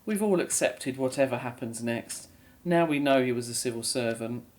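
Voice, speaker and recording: A woman's voice: a bass voice, moderate pace, a little mellow. An actress playing a weary, remorseful middle-aged mother in an audio drama, speaking in a grave, resigned way.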